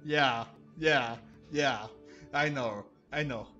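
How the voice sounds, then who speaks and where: A man hosting a gaming video, commenting casually and reading game text aloud a bit haltingly. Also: medium pitch, pushed and bright, highs cut off. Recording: game music clearly behind the voice. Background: video game music.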